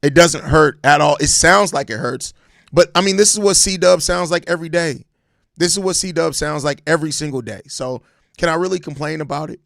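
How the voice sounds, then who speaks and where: An American man with a hoarse, raspy voice worn out from overuse, chatting casually and emphatically in slang as a solo sports podcast host. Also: on the high side, brisk, fairly bright tone, dry recording, studio quality. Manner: slangy, emphatic, repeats phrases. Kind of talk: casual live-stream sports chat answering viewer comments.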